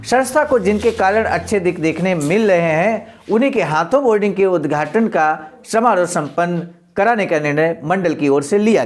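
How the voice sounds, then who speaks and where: A presenter reads a script formally, pausing to explain it and address listeners. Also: mid-range voice. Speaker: presenter of a daily speech-reading programme. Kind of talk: scripted reading with spoken commentary.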